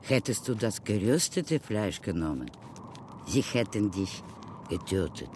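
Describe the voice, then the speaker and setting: Solemn Apache mentor, low voice, soothing, short earnest phrases, very slow, radio play. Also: male voice, sing-song, background noise. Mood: reassuring, solemn. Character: solemn, protective Apache mentor consoling a small boy. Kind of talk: radio drama acting.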